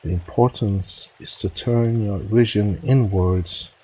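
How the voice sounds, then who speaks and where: A man with a deep, husky voice guides a meditation. His delivery is very slow and solemn, broken into short phrases with pauses, as he gives measured instruction. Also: warm tone, poor audio.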